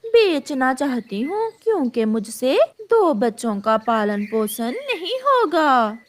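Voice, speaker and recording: A woman's voice: high-pitched, very animated, slow pace, slight background noise, heavily acted. A woman voicing a brash, greedy female crow in a children's cartoon, with a pushy, blunt manner. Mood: scheming. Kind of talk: Hindi children's cartoon voice acting.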